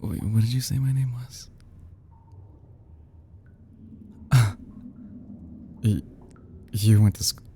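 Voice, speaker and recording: Guy playing desperate yandere kidnapper in asmr roleplay, very dark mellow voice, gravelly, stammers a lot, some hiss. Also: on the low side, exaggerated voice. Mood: rattled, disbelieving. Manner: halting, stammering, breathy nervous chuckles, often dropping to whispers. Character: obsessive lovesick male kidnapper, desperate and unstable. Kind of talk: intimate audio roleplay, ASMR acting.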